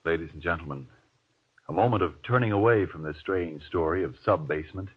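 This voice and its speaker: A man announcing on 1940s radio, delivering formal links and an emphatic sponsor pitch. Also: brisk, breathy edge. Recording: tinny, narrow-band old radio recording.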